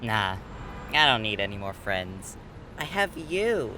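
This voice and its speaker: A man's voice: on the low side, sing-song, slow pace, bright, forceful tone, slight background noise, full character voice. Actor as a wry young man, swooping intonation. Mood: fond, reluctant. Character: dry, introverted, fond young man. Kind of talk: audio drama acting.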